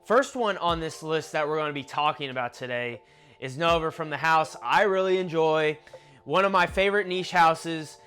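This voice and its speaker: A man's voice: on the high side, normal speed, clear, resonant tone, faint music behind. Nasal, chatty young man. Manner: chatty, conversational, lists notes in a relaxed flow. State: nasal from allergies. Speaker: fragrance reviewer. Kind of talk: casual vlog review.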